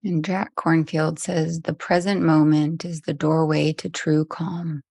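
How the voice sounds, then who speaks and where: Low, slow woman guiding a meditation. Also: little pitch movement, vocal fry, no echo, clean studio sound. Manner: gentle, soothing, long unhurried pauses. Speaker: meditation teacher. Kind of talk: guided meditation.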